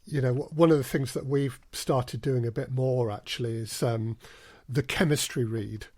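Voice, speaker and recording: A man's voice: mid-range voice, steady tone, normal speed, a little mellow, no echo. A man talking conversationally as an interview guest, explaining his work through anecdotes. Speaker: podcast guest, audio drama producer.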